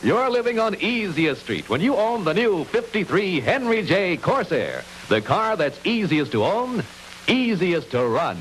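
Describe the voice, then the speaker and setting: Brief: salesmanlike 1950s announcer, higher-pitched and lively, on hissy old commercial audio. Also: male voice, poor audio. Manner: emphatic, salesmanlike. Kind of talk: 1950s radio-style advertising announcing.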